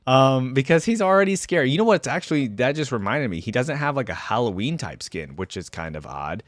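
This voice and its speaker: A man's voice: mid-range voice, very animated, fairly quick, no echo, clean studio sound. A man giving casual, informal reaction commentary on game character skins, thinking aloud as he goes. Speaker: reaction video host.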